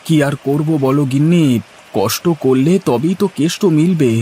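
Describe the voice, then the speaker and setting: A man's voice: a little high, moderate pace, warm tone, slight background noise, natural voice. A man voicing a hardworking, good-natured farmer husband in a cartoon, earnest and projected in a theatrical way. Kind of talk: Bengali cartoon dubbing.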